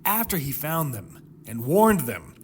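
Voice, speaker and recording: Guy doing dramatic bible teaching, really animated and emphatic, kinda high voice, noisy background. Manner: emphatic, rhetorical questions, dramatic pauses. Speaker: host of a Bible teaching series.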